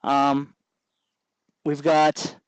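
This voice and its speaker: A man hosting an informal electronics tutorial, explaining things off the cuff in a halting way as he thinks aloud. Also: fairly high pitch, monotone, clear, resonant tone, dry recording, poor audio. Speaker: host of an electronics tutorial show. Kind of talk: unscripted hands-on tutorial narration.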